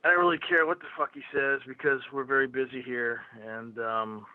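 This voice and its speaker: A man's voice: mid-range voice, fairly even intonation, fairly quick, no echo. Blunt Philadelphia man on a tinny phone line. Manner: blunt, profane, rambling. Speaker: phone guest. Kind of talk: casual phone conversation.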